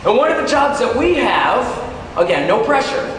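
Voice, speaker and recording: A man giving a motivational keynote to teachers, emphatic and dramatic with drawn-out key words, conversational with some wry humor. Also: very high-pitched, big pitch swings, a bit bright. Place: large hall at a teachers' conference. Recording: very echoey hall, distant, noisy.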